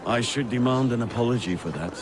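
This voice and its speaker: A man's voice: medium pitch, a little fast, noisy background, close to an everyday voice. A man playing a grave, proud Viking warrior. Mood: grave, resigned. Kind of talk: dramatic video game acting.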